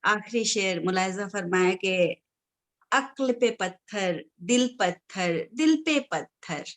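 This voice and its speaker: A woman poet reciting Urdu verse at a mushaira over a video call, repeating each line with measured delivery, her voice a little hoarse from a sore throat. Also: medium pitch, animated. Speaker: guest poet.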